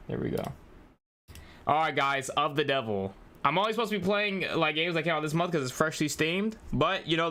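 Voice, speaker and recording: A man's voice: mid-range voice, a bit bright. A young man chatting casually on a game livestream, speaking in slang and addressing his viewers like buddies. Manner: slangy, addresses chat as bro and y'all. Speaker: live streamer playing games. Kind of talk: casual livestream chat while playing a game.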